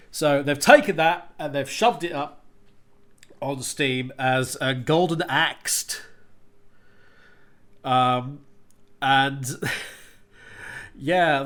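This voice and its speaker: A man's voice: fairly high pitch, a bit bright. Stuffed-up male radio host, irritated and rambling, raised voice, full of ums. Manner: frustrated, sweary, rambling with many fillers. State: congested with a cold. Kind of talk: ranting podcast monologue.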